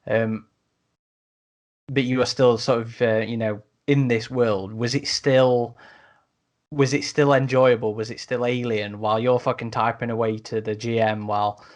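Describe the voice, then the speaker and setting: A man hosting a casual discussion, putting questions in an informal, halting way. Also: medium pitch, flat delivery. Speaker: podcast host.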